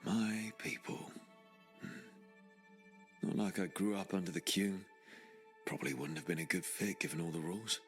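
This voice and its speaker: An actor playing a reflective, earnest young male adventurer in a video game cutscene, musing aloud with quiet determination. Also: low-pitched, normal speed, pauses often, faint music behind.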